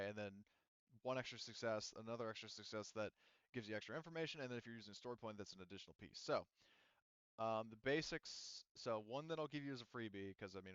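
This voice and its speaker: Male game master, smooth clear voice, halting explanatory delivery, dry but slightly dull recording. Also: fairly low pitch, fairly bright tone, dry recording. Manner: halting, explanatory, hedging with asides. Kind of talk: improvised tabletop game narration and rules talk. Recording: muffled, dull microphone sound.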